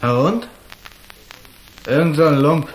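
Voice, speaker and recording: A man's voice: fairly high pitch, expressive, takes it slowly, low-quality recording. A male actor playing a proud, suspicious prizefighter in a radio crime drama, blunt and challenging. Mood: guarded, dismissive.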